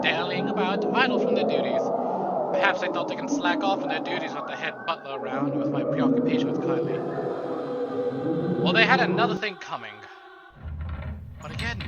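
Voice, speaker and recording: Higher-voiced man streaming, slightly dull audio. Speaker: streamer reading a visual novel aloud. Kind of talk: dramatic story narration and casual stream chat. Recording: dull band-limited stream, music behind.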